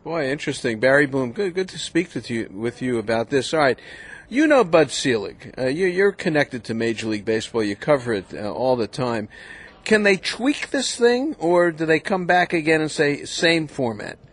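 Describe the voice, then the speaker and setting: Radio host man with a slightly high voice. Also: sing-song. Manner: brisk, short prompts and wrap-ups. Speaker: radio talk show host. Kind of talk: radio interview hosting.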